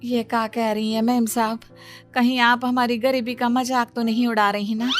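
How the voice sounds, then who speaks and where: A woman's voice: fairly high pitch, flat delivery, normal speed, soft background music, voice pushed up, lightly acted. Woman doing a doubtful, humble nomad mother. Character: poor, wary nomad mother.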